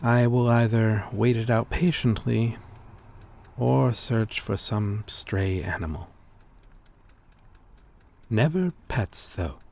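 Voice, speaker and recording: Weary gentle vampire outcast, played by a man, very slow delivery, lowish pitch, hesitant and formal, intimate ASMR roleplay. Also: creaky. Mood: restrained, tempted. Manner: hesitant, confessional, formal old-fashioned wording.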